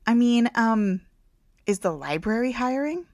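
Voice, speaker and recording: Desperate, flustered young female job seeker, voiced by a woman narrator. Higher pitch, hesitant, blurts her lines, romance audiobook. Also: animated, a little slow, a little mellow, no room sound, studio quality. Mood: nervous, hesitant. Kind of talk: audiobook character dialogue.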